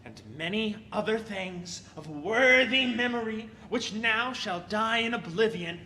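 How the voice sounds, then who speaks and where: A man playing a garrulous, put-upon comic servant in a Shakespearean audio play, speaking theatrically with halting hesitations. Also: high-pitched, bright, forceful tone, a little hiss or hum. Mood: aggrieved storytelling. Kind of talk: Shakespearean stage-style audio play acting.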